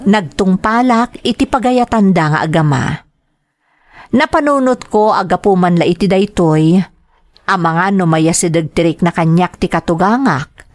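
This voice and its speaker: An actress with an Ilocano accent playing a troubled, submissive young wife in a radio drama. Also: female voice, mid-range voice, no echo, close to an everyday voice. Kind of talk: Ilocano radio drama acting and first-person narration.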